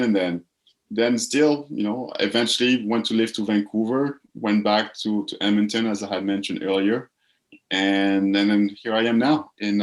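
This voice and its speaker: Man from the Congo, low voice, reflective interview guest, repeats words while thinking. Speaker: guest, purpose coach. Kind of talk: casual interview talk.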